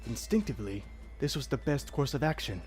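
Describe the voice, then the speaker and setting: A man plays a grave demon servant in an audio drama. His speech is formal and measured, with a stately, dutiful air, and music sits underneath. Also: medium pitch, expressive, darkish tone, close to an everyday voice. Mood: grave, regretful. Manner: formal, measured, archaic phrasing without contractions.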